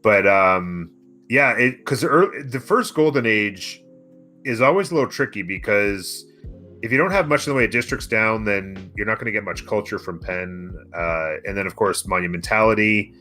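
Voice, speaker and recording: A man's voice: lowish voice, expressive, moderate pace, sharp tone, faint music behind. A man co-casting a strategy game in a casual style, thinking aloud as he explains. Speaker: co-caster of a strategy game match. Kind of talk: casual live game commentary.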